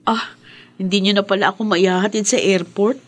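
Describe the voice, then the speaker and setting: A cheerful, loyal Filipino woman friend played by an actress in a radio drama. Her voice is mid-pitched and she chats in a friendly, natural way. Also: fairly even intonation, fairly quick, close to an everyday voice. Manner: friendly, chatty.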